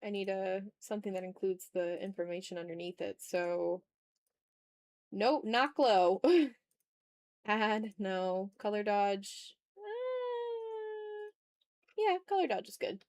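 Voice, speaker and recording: A woman's voice: mid-range voice, lively intonation, takes it slowly, pauses often, darkish tone, no room sound, clean studio sound. A woman chatting casually on an art livestream while drawing, talking to her viewers in an informal, joking, mildly sweary way. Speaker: livestream host, illustrator.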